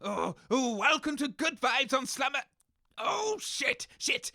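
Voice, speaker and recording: Very high-pitched exasperated British sidekick, sharp tone, indignant, complaining, comedy radio play. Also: male voice, sing-song, slow pace, creaky, dry recording, studio quality, full character voice. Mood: flustered. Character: grumpy, exasperated male radio engineer sidekick named Fog. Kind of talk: comedy audio drama.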